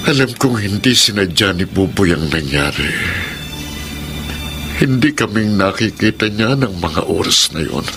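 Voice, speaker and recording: Older father, audio story drama, male actor: low register, weary, gentle, grieving, measured solemn delivery, music underneath. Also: expressive. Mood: sorrowful resolve.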